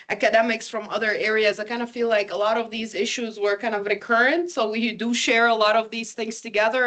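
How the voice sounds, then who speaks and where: A high-pitched woman's voice presents to a live audience as a visiting academic. She grew up between Ukraine and Lebanon, speaks Ukrainian and Russian natively and has a foreign accent. Her delivery is informal and chatty, often turning to listeners with questions. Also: fairly bright tone.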